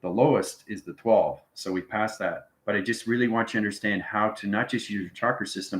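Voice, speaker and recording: A man with a fairly deep voice teaches informally to a live online audience. He circles back over his phrases and punctuates them with little check-ins like "okay" and "right." The sound is noticeably roomy and echoing. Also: fairly bright tone. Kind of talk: informal live lesson to viewers.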